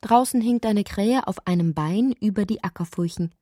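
A woman narrating a poetic, absurd literary radio drama in deliberate, measured phrases. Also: medium pitch, warm tone, no echo, studio quality, lightly acted. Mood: musing, wry.

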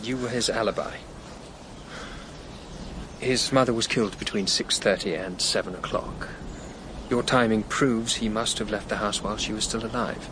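Actor as formal lawyer, clearly noisy background. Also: male voice, lowish voice, fairly even intonation, normal speed, close to an everyday voice. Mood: grave. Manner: formal, careful. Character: measured, discreet male family lawyer, tactful but firm. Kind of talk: naturalistic radio drama acting.

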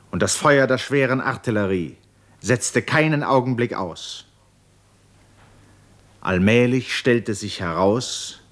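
A man narrating a 1950s literary radio play in a measured, sober manner. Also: fairly low pitch, fairly bright tone.

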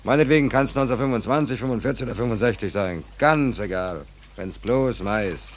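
An actor playing a down-and-out American sailor in a 1940s German radio play, weary, wry and stubborn, with colloquial, sardonic, rambling talk. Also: male voice, medium pitch, brisk, a little hiss or hum, close to an everyday voice. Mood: wry, unbothered.

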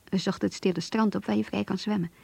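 An actress playing a shy, anxious young woman in love, reading her diary in a radio drama in an intimate, hesitant, breathy voice. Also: medium pitch, steady tone, quick pace, darkish tone. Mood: tender, yearning. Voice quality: husky, breathy, half-whispered.

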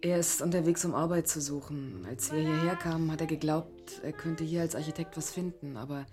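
A woman's voice: sits low, steady tone, brisk, music in the background, close to an everyday voice. An actress playing a guarded, teasing woman illustrator in a German radio drama, hesitant at first and then pointed. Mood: challenging, teasing.

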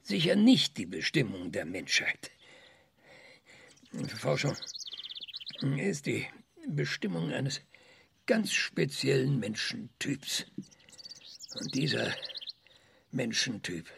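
A man's voice: medium pitch, sing-song, slow pace, gravelly, pauses often, background noise, exaggerated voice. An actor in a radio drama playing an ironic, cranky male scientist, hesitant and musing with dry sarcasm. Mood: wry, musing. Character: cranky, ironic maverick male scientist, passionate about research.

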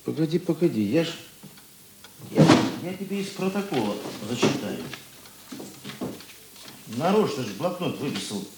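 An actor playing a seasoned police investigator in a radio drama, gruff but talkative, with a husky voice and halting, conversational delivery. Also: male voice, on the high side, very animated, heavy background noise. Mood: eager storytelling. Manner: musing, halting, conversational asides. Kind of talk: Russian radio drama dialogue.

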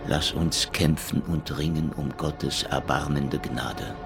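A man's voice: a bass voice, fairly even intonation, slow, over loud music, heavily acted. An actor playing an earnest, tender military husband and father, reading his letters in a solemn, intimate manner. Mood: grieving, tender.

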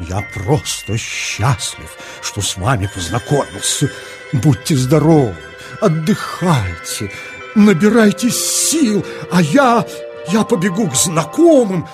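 An actor playing an excitable, gallant and sentimental beetle gentleman in a children's audio play, effusive and courtly, stammering when flustered. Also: medium pitch, takes it slowly, music in the background. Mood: ecstatic admiration.

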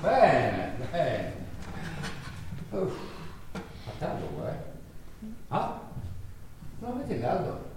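Smug recruiter full of corporate buzzwords, highish voice, big echoey stage, far from the mic. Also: male voice, huge pitch swings, extremely slow, vocal fry, long pauses, exaggerated voice. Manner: corporate jargon, teasing, chuckling. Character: smug male job-agency recruiter in charge. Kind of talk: live stage play on radio. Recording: distant echoey stage with noise.

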